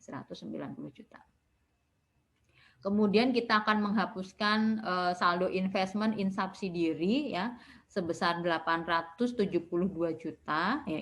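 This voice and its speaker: A woman teaches in a recorded online lecture. Her voice sits a little low for a woman. She explains step by step in a didactic manner, often adding short tag words to confirm each point. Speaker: accounting lecturer.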